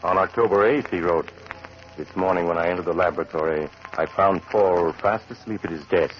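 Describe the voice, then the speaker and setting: Male actor as sinister horror host, very low voice, courteous, ominous, slow delivery, muffled 1940s radio recording. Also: music in the background, natural voice. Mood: ominous, inviting. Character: sinister, courteous male storyteller reading from a secret journal. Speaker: horror show host and narrator.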